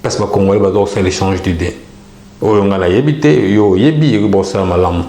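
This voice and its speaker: Homme à la voix grave, salle résonnante. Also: male voice, sits low, brisk, warm tone, reverberant. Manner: repeats greetings into the line, mixes French and Lingala. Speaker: web TV host taking callers. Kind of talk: casual call-in show hosting.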